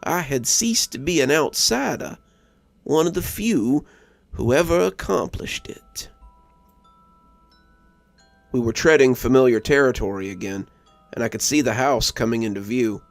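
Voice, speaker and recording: A man's voice: medium pitch, lively intonation, a little slow, gravelly, long pauses, no echo, faint music behind. A man reading a scripted story as a dramatic narrator.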